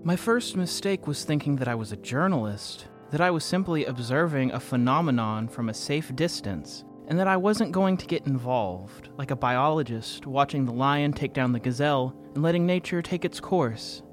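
A man's voice: mid-range voice, expressive, moderate pace, few pauses, natural voice. A man playing a rueful, introspective narrator who blames himself in a first-person audio drama. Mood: rueful, self-deprecating. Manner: introspective, self-deprecating. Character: rueful, self-blaming man confessing his own hubris. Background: music.

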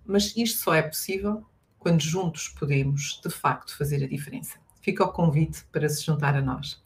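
A woman hosting a live talk show, introducing and addressing her guest. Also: on the low side. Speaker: host.

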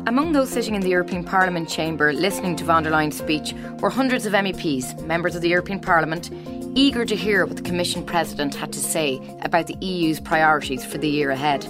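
Female news presenter, low-pitched, measured and friendly, light music bed. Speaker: news host.

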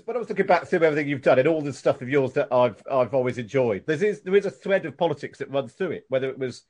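A man's voice: medium pitch, lively intonation, fast, a little mellow. A man hosting a casual interview, asking questions enthusiastically and laughing easily.

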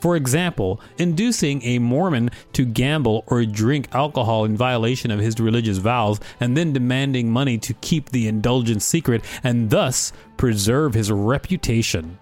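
A man's voice: mid-range voice, normal speed, few pauses, darkish tone, faint music behind. A man co-hosting a podcast, reading an article aloud and chatting casually. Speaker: podcast co-host.

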